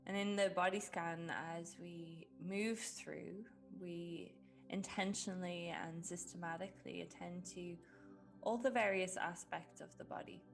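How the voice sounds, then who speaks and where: A woman leading a guided meditation in a gentle, unhurried way with long pauses. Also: lowish voice, fairly even intonation. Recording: music behind the voice. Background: background music.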